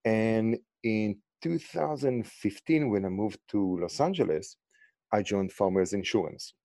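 A man in his forties with an Israeli accent, a guest telling his career story in a podcast interview, animated and restarting his sentences. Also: a little slow, gravelly, no room sound, studio quality. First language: Hebrew. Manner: animated, restarts sentences, pitch jumps up on stressed words. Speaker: guest, insurtech founder.

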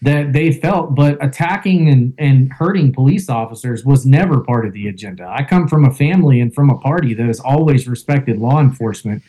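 A man with a loud voice, a guest in an interview, telling a first-person account with fillers like "um" and "you know". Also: medium pitch, expressive, fairly quick, few pauses, rough recording. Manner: storytelling with fillers and reenacted quotes.

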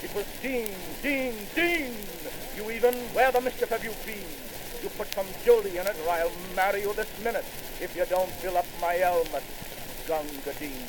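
A man giving an old-fashioned theatrical poetry recitation, declamatory, his voice swooping sharply upward on shouted lines, heard on a crackly 1919 phonograph recording. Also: high-pitched, brisk.

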